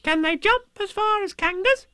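Squeaky, eager little kangaroo child. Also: male voice, moderate pace, pushed and bright, dry recording, clean studio sound, exaggerated voice. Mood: eager, curious. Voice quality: squeaky falsetto.

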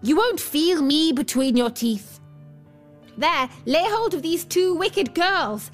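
Storybook dwarf, played by a woman: shrill, high-pitched, cranky little man, melodramatic and cowardly, over background music. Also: animated, slow pace. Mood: terrified, pleading. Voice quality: screeching, shrill. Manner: scolding, melodramatic exclamations. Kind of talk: fairy-tale storybook character voice.

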